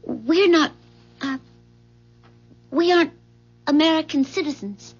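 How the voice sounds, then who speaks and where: An actress playing a timid, secretive neighbor in a 1970s radio drama, speaking in a halting, guarded way. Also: female voice, sits high. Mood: reluctant, anxious. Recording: muffled vintage radio broadcast.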